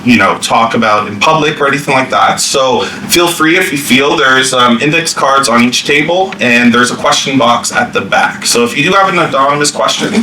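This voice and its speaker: A man presents a staff training session in a casual, unscripted way, full of fillers and restarts. His voice is bright and clear, heard through a distant mic. The meeting room echoes around him and a faint hiss sits underneath. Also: medium pitch, moderate pace, few pauses. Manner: informal, many fillers and restarts. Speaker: workshop presenter.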